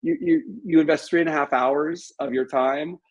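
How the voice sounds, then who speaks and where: A man's voice: on the high side, moderate pace, lo-fi sound. A middle-aged American man speaking as a guest in a casual interview, in an emphatic, opinionated way.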